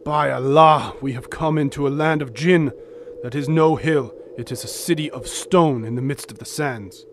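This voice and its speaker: Male actor, stoic desert companion, devout, medium-pitched, formal archaic phrasing, solemn, audio drama, clear background ambience noise. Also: normal speed, lightly acted. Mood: fearful awe.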